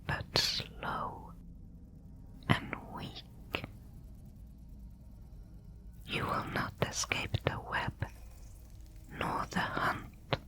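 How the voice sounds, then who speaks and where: Woman whispering as a stern spider guard. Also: sharp tone. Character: female giant spider guard, wary, territorial. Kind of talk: ASMR audio roleplay.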